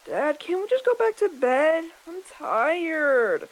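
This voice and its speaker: A woman's voice: high voice, very animated, takes it slowly, full character voice, low-quality recording. Woman voicing a sleepy timid kitten. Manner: whiny.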